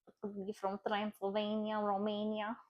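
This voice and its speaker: Soft-spoken consoling woman reading tarot. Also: mid-range voice, monotone, muffled recording. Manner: soft, consoling, halting with pauses and fillers. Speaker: tarot card reader. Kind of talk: casual tarot reading to camera.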